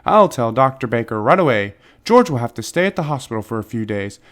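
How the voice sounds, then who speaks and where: A man voicing a reassuring male doctor in a children's storybook, brisk and matter-of-fact as he explains. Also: mid-range voice, sing-song, natural voice. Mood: businesslike, reassuring.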